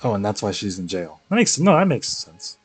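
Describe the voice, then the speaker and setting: A man's voice: on the low side, big pitch swings, brisk, slightly rough, soft background music. A young adult man giving casual, slangy live reaction commentary as a game streamer, in short offhand remarks.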